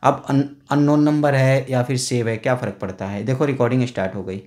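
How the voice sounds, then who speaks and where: A man's voice: medium pitch, fairly even intonation, a little fast, a little mellow. A man hosting a casual tech tutorial, talking through phone settings step by step with a chatty, explaining manner. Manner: chatty, explains step by step with frequent "na" and "thik hai". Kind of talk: casual phone how-to voice-over. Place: indoors at a table, filming a phone on a stand.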